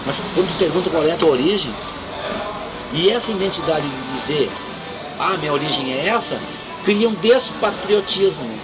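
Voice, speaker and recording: Guy, higher-ish voice, chatting politics in a reflective way, loud music n vehicle noise. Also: animated, normal speed, low-quality recording. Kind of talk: casual political discussion.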